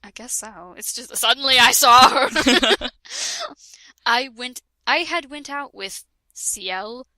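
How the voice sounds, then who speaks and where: A woman's voice: high voice, lively intonation, slow, pushed and bright, no echo. A woman reading a parody fan fiction aloud with a friend, giggling and breaking into laughter and sarcastic asides. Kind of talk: comedic dramatic reading with a friend.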